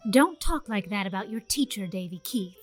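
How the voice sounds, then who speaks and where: A woman's voice: medium pitch, animated, normal speed, soft background music, lightly acted. A woman voicing a stern, blunt older woman who speaks in a firm, emphatic way.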